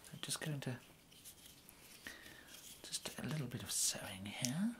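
Man playing a fussy, courteous English gentleman tailor, mid-pitched, hushed and breathy, murmured ASMR roleplay delivery with some background noise. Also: expressive, measured pace, lightly acted. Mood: courteous, pleased. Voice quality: soft, breathy, often slipping into whisper. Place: indoors, plain small room, close to camera.

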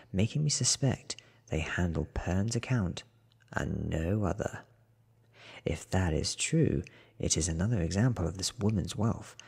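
A man in his thirties reading a book aloud in a soft, half-whispered ASMR style, with hushed, deliberate phrasing close to the mic. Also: low-pitched, creaky, breathy edge. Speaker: audiobook reader.

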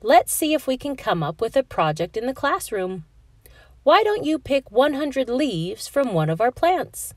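A woman's voice: high voice, big pitch swings, a little slow, no echo, pitched above the performer's own voice, heavily acted. A woman voicing a cheerful, encouraging female flamingo schoolteacher in a children's storybook. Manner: bright, encouraging teacherly exclamations.

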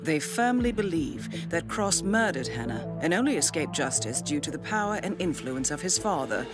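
Low-voiced, coolly composed female spy handler. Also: very animated, over loud music. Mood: composed, businesslike. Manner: formal, measured, coolly articulate. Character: composed, businesslike female intelligence handler briefing an assassin. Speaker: mission handler. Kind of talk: scripted mission briefing in a video game.

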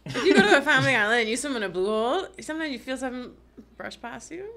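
A young Bahamian woman chats as a podcast guest, her voice bright and forward. She tells stories in a lively way and laughs often. She is close on a desk mic in a small studio. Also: high voice, racing, creaky. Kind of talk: casual podcast chat.

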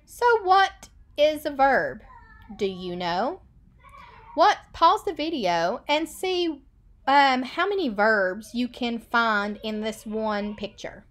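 A woman in her thirties, an elementary school teacher, reading a picture book lesson to children in a deliberate sing-song way, recorded indoors on a computer webcam. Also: on the high side, animated, a bit bright.

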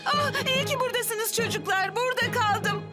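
A woman's voice: very high-pitched, moderate pace. A woman voicing a brave, caring young woman firefighter in a cartoon dub, calling out urgently. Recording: cartoon dub over loud music.

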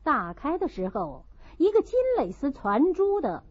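A woman's voice: sits high, sing-song, unhurried, a little mellow. A woman reading a literary audiobook as its narrator. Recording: dull lo-fi audio.

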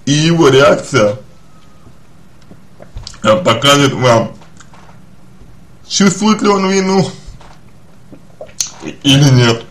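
A man giving unscripted personal advice as a psychologist, speaking deliberately and haltingly, often repeating and rephrasing his phrases. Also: fairly high pitch. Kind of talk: spoken advice to a listener, unscripted.

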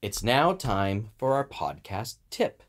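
A man's voice: lowish voice, sing-song, slow pace. A man co-hosting a podcast in a casual, informal chat style, with a joking streak. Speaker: podcast co-host.

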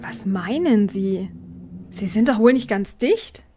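A woman acts out a young kidnapped heiress in a crime audio drama. She sounds drained and desperate, in a medium-pitched voice. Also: expressive, slow, warm tone, slight background noise. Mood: pleading, indignant. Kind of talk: audio drama monologue and acting.

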